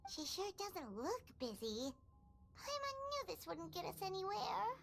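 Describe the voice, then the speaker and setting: A tiny, cheeky fairy girl from a game. The voice is extremely high and squeaky, childlike and perky, bouncing widely in pitch over clear background music. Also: big pitch swings, a little slow, fairly bright tone, exaggerated voice. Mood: grumbling. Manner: perky, speaks of herself in third person. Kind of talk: video game voice acting. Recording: music behind the voice.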